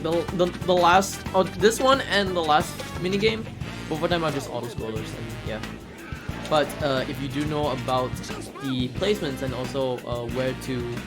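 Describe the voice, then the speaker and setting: A man giving casual live commentary as he plays a video game, excitable and easily amused. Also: medium pitch. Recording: game music playing behind voice. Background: video game music and sounds.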